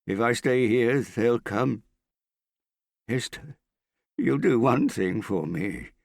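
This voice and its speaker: A male narrator plays a frightened, suspicious man who drinks and hides from the world. His voice rides high and urgent, pleading and easily roused to anger. It is audiobook character work, closely and dryly recorded. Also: lively intonation, takes it slowly, creaky, no room sound, studio quality. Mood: panicked. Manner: agitated, suspicious, pleading, prone to shouting. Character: paranoid, shaky, hard-drinking frightened man in hiding.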